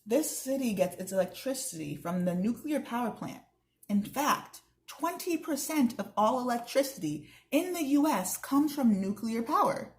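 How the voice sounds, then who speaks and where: A woman's voice: a little high, expressive, normal speed, pitched above the performer's own voice. A woman voicing a wise, teasing male mentor who instructs children in a storybook with leading questions. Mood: instructive.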